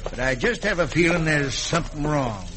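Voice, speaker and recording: An actor playing a folksy, plain-spoken American shipping superintendent in an old radio western. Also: male voice, fairly high pitch, expressive, normal speed, background noise, close to an everyday voice. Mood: worried. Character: hearty, worried Old West shipping superintendent. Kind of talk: classic radio western acting. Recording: old radio recording with background voices.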